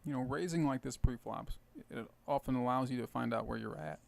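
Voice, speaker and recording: A man with a tired, hoarse voice and some gravel in it talks through his poker hands. He explains his strategy casually, thinking aloud with plenty of fillers. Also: mid-range voice, a little fast, darkish tone. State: hoarse, rough voice. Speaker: poker video host. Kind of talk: casual poker commentary over gameplay.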